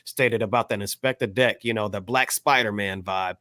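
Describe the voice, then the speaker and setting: A man bantering casually as a music podcast panelist, slangy and halting as he hedges his opinions. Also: on the low side, a bit bright, dry recording, studio quality.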